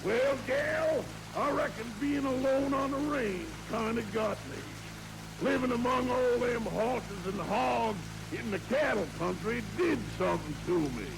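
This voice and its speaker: An actor playing a brash, lonely cowboy in a 1940s radio comedy sketch, hollering in a drawl. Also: male voice, very high voice, measured pace, vocal fry, crowd noise, heavily acted, poor audio. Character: brash lonely male cowboy from cattle country.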